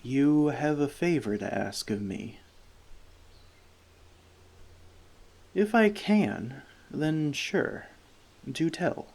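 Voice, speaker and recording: A gentle, long-lived forest elf, played by a man in an ASMR fantasy roleplay. He speaks softly and slowly, with a creaky edge to his voice. His wording is measured, slightly formal and thoughtful. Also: on the high side, expressive, exaggerated voice. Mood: reluctant, giving in. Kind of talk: soft-spoken ASMR roleplay addressed to the listener.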